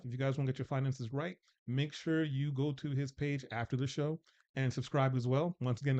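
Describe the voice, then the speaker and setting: A man's voice: medium pitch, darkish tone, highs cut off. Forty-something guy hosting a dating panel, teasing, chill middle voice. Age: 40s. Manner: joking, teasing, loose and rambling. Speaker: host. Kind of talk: casual panel talk show.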